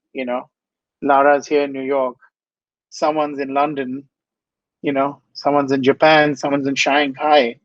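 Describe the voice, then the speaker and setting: A man's voice: fairly high pitch, no echo, lo-fi sound. A man from India, speaking earnestly and with passion as a guest in an interview, often repeating phrases for emphasis. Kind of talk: inspirational interview answers.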